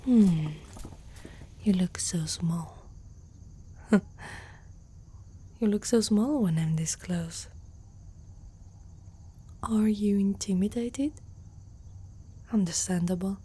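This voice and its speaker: Casting: woman as haughty centaur girl for ASMR fantasy roleplay, Italian accent, unhurried, soft dark tone, protective underneath. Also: medium pitch, slightly rough, heavily acted. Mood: smug, intimidating. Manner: haughty, slow, often dropping into whispers. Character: proud, guarded young female centaur warrior, gruffly protective.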